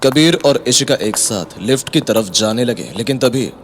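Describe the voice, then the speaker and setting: A man's voice: medium pitch, expressive, normal speed, a little hiss or hum. A man giving scripted, dramatic narration of an audio story.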